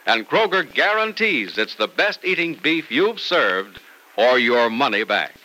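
A man's voice: medium pitch, sing-song, measured pace, bright, forceful tone, rough recording. A man announcing in an emphatic, polished old-time radio sales and narration style. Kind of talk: 1940s-50s radio announcing, sales pitch.

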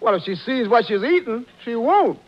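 An actor playing a droll, wisecracking tavern waiter with dry delivery in old-time radio comedy. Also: male voice, extremely high pitch, very animated, normal speed. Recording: dull, hissy old radio recording.

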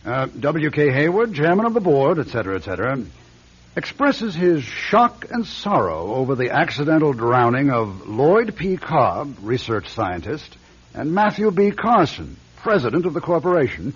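An American man playing a smug, calculating board chairman in a radio drama, his voice husky. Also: on the high side, sing-song, unhurried, full character voice. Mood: formal, reading aloud. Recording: dull radio drama recording.

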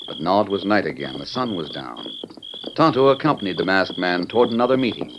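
An actor narrating a western adventure serial as a solemn storyteller, with the formal dramatic delivery of old-time radio. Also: male voice, low-pitched, animated, fairly quick, background noise. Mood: dramatic, suspenseful. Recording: muffled vintage radio recording.

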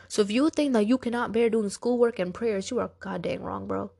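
A woman's voice: mid-range voice, a little fast, creaky, a little mellow. A congested young woman hosting a podcast, giving casual, direct motivational talk with a soothing voice. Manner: chatty, direct, addresses listeners as girl and y'all. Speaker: podcast host.

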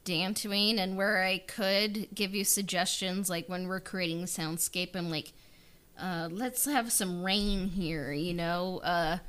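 A woman's voice: lowish voice, fairly even intonation, slow pace, fairly bright tone. Modest, tentative woman in casual conversation. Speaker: podcast guest, audio drama writer and director. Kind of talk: casual interview chat.

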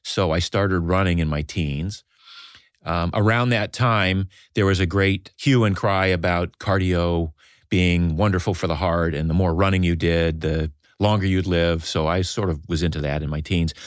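Very deep-voiced man in his seventies. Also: fairly even intonation, no echo, studio quality. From Maine, United States. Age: 70s. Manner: measured storytelling with some "uh" pauses. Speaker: podcast guest. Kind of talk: casual interview talk.

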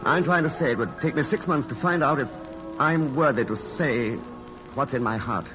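An actor playing a charming, idle playboy artist in a vintage radio romance, speaking tenderly in a halting, self-deprecating way. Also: male voice, mid-range voice, lightly acted. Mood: hesitant, earnest. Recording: dull old radio recording, music behind.

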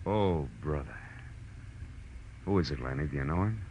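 Deep-voiced hard-boiled private eye. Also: male voice, lively intonation, normal speed, darkish tone, slight background noise. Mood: brisk, wry. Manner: terse, sardonic, dramatic. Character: tough, wry male private detective narrating his case. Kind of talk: 1940s radio drama acting, hard-boiled narration. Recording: muffled old radio recording with music.

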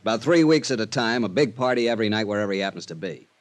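An American man playing a worried, insistent insurance company man in 1950s radio drama style, brisk and emphatic. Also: fairly low pitch, expressive, natural voice.

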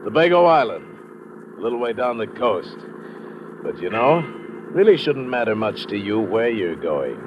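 An actor playing a smug, menacing American crook who passes as a chummy tourist, in old-time radio drama style, on a muffled, noisy vintage recording. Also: male voice, on the low side, big pitch swings, normal speed. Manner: taunting, falsely chummy, commanding.

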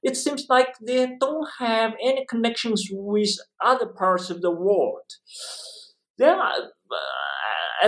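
A man's voice: high voice, poor audio. A man from eastern China with a Chinese accent, a professor explaining his work in an interview, repeating phrases and often checking with "right?". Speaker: interview guest, history professor and author.

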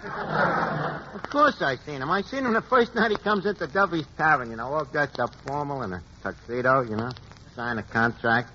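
An actor playing a wisecracking New bartender who mangles words, telling a tall tale in a folksy, rambling way on a 1940s radio comedy show. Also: male voice, fairly high pitch, expressive, moderate pace, close to an everyday voice. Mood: wry. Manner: folksy storyteller, drops in malapropisms and filler. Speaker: comic guest. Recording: noisy lo-fi 1940s radio broadcast.

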